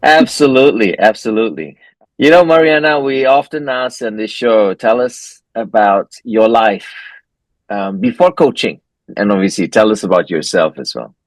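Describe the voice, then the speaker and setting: A man's voice: fairly low pitch, animated, moderate pace, dry recording, rough recording. A man hosting an interview show over a video call, agreeing often and laughing along. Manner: warm agreeing backchannels, laughs. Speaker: podcast host.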